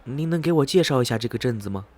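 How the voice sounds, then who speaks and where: A man's voice: medium pitch, lively intonation, racing, darkish tone, close to an everyday voice. A man playing a polite, inquisitive traveler new in town, in radio drama dialogue. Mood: polite, curious. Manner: courteous, inquisitive. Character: polite, curious male traveler, newcomer in town. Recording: music behind the voice. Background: background music.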